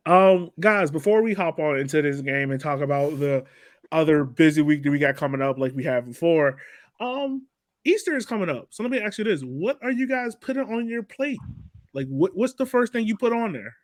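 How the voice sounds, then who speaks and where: A man's voice: fairly high pitch, animated, moderate pace, no room sound, clean studio sound. A man hosting a casual sports podcast, chatting in a slangy, jokey way. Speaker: podcast host.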